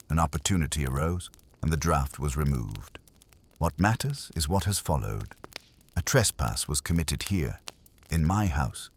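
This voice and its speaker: A man voicing an imperious, calm detective genius in an audiobook, pompous, formal and husky. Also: a bass voice, slight background noise, exaggerated voice.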